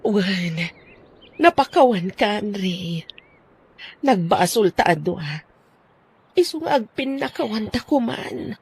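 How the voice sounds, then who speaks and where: A woman's voice: medium pitch, expressive, darkish tone, breathy edge, exaggerated voice. A woman playing a remorseful estranged wife asking forgiveness in an Ilocano radio drama. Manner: slow, remorseful.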